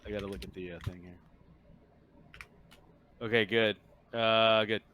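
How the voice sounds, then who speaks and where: A man narrating a tabletop roleplaying game as game master, improvising in an informal, halting way. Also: on the low side, fairly even intonation, lo-fi sound.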